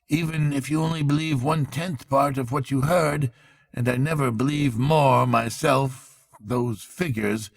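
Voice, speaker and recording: Man playing a fussy court clerk, pitched up. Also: vocal fry, slightly rough, dry recording, studio quality, full character voice. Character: fussy male court clerk, drily reckoning up penalties.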